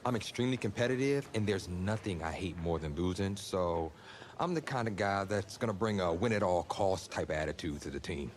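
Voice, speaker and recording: Low-voiced earnest young quarterback. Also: male voice, lively intonation, fairly quick, slight background noise. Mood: confident. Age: young adult. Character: confident young male backup high-school quarterback, earnest, competitive. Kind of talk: video game cutscene dialogue.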